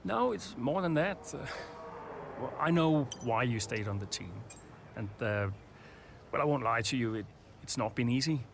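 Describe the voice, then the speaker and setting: An earnest, guarded racing team boss in a video game. His medium-pitched voice is understated and halting, confiding over faint background noise. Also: lively intonation, vocal fry. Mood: sincere, grateful.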